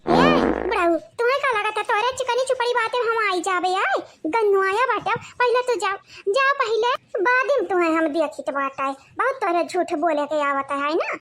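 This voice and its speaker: A woman voicing a quarrelsome young village woman who scolds with sharp, repeated taunts, in an Awadhi accent. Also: very high voice, very animated, normal speed, hardly any pauses, exaggerated voice. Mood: scolding. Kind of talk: cartoon voice acting.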